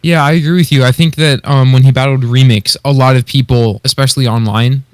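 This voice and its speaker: Man, medium-pitched voice, podcast co-host, casual, agreeable, slightly hesitant, dry sound. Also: fairly even intonation, brisk, no room sound. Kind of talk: casual podcast discussion of beatboxing competitors.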